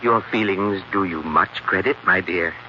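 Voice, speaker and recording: An actor playing a courtly, coldly sinister husband with an oily, overly courteous manner in an old radio drama. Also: male voice, sits low, unhurried. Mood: oily, soothing. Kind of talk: 1940s radio acting. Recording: noisy, muffled 1940s radio recording.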